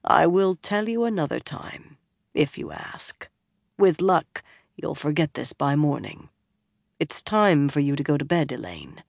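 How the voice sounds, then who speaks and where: A woman voicing a gruff older male bard who speaks low, soft and weary. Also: very animated, a little slow, dry recording, studio quality, heavily acted.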